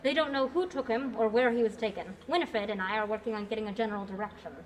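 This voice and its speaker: A teenage student actor playing a practical young woman who runs the party's plans in a radio play, brisk and businesslike. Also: a little high, a little hiss or hum, close to an everyday voice. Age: teens. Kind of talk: amateur radio play acting.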